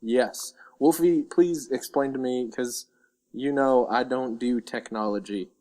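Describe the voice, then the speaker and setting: Mid-pitched man, playful podcast sidekick. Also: unhurried, mellow. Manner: playful, interjecting with mock-grand quips. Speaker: podcast co-host. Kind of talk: casual chat.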